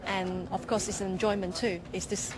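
A woman's voice: mid-range voice, flat delivery, normal speed. Woman in her 30s explaining tower work in an interview, really noisy behind. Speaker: air traffic tower controller. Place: control tower room with big windows. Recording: loud control room background noise.

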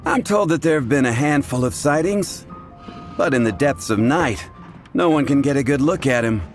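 Man as a game tavern bartender, wry, unbothered, conversational, middle pitch, music behind. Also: animated, normal speed, close to an everyday voice. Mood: wry, matter-of-fact. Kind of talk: video game character acting.

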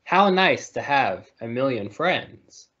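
Man, storybook reader for kids. Medium pitch, lively intonation, muffled video-call recording. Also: slow. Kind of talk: children's picture book read-aloud.

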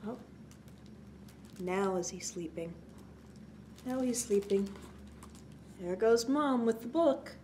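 A woman's voice: mid-range voice, animated, slow pace, pauses often, warm tone. A woman in her forties hosting a children's story time, talking to young listeners with exaggerated, prompting questions. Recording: clear background noise.

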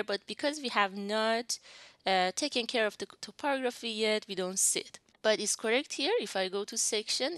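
A young adult woman teaching a screen-recorded software tutorial, walking through each step and thinking aloud as she works at a desk. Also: medium pitch, no room sound. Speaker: instructor. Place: indoors at a desk.

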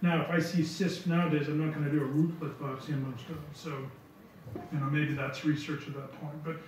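A man's voice: fairly high pitch, fairly quick. Man answering questions in echoey hall. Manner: relaxed, repeats words while thinking. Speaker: visiting lecturer answering questions. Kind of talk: conference Q&A answers. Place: large lecture hall. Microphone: podium mic from far. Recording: echoey hall, distant dull sound, faint hiss.

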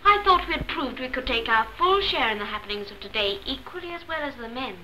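A woman's voice: sits high, lively intonation, fast talker, poor audio. An actress in her twenties playing a spirited, teasing young English woman on leave in a 1940s film. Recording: 1940s film soundtrack with music behind.

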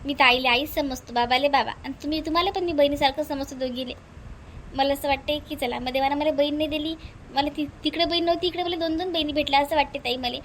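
Woman acting a high, quick-talking forgiving sister-in-law. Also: steady tone, fairly bright tone, slight background noise. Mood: earnest, forgiving. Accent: Varhadi Marathi. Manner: earnest, respectful, rapid reassurance. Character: kind young sister-in-law, forgiving and deferential. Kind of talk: amateur cartoon family drama.

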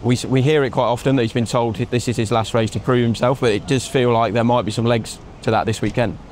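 A man in his thirties hosting a casual motorsport chat outdoors, asking quick questions on a lapel mic. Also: mid-range voice, fairly even intonation, darkish tone, a little hiss or hum. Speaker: host. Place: outdoors in a racing paddock at night. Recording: faint outdoor street ambience.

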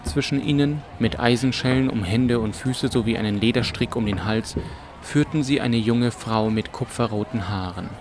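A man's voice: mid-range voice, moderate pace, noisy background. A man narrating a scripted fantasy audiobook as a storyteller. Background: music and ambience.

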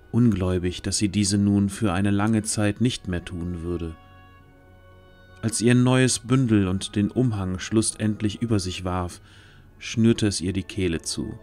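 A man's voice: on the low side, a little mellow. A man narrating a scripted fantasy audio drama as its storyteller.

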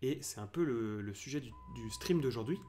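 A man's voice: mid-range voice, expressive, normal speed, warm tone, soft background music. A man in his thirties hosting a casual live-stream interview over a headset, hesitant with many restarts. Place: home room, indoors.

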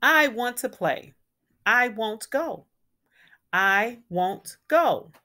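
A woman's voice: on the high side, lively intonation, drawn-out words, bright, forceful tone, exaggerated voice. A woman voicing an upset, stubborn young giraffe boy from a storybook, whining with emphatic protests. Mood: grouchy.

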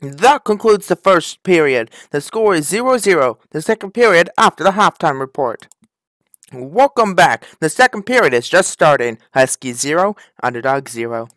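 Higher-voiced man announcing, swinging pitch. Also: lively intonation, normal speed, fairly bright tone, no echo. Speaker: narrator and match announcer. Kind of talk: scripted narration and sports commentary of a comic dub.

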